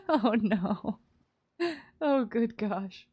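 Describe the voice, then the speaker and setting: A woman's voice: fairly high pitch, very animated, very slow, darkish tone, breathy edge. A woman livestreaming a game, chatting casually in a playful, giggly, teasing way. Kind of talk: casual chat while playing.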